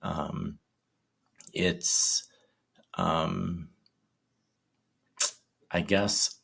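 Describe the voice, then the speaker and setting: A man in his forties, a guest in a casual interview, talking in a shy, halting way with many ums and restarts. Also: deep voice, steady tone, creaky, fairly bright tone, breathy edge. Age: 40s.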